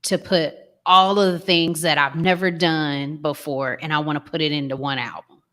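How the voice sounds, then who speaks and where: A woman on a music podcast panel, giving her casual take in a deliberate, emphatic way. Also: deep voice, steady tone, a bit bright. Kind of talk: casual album review.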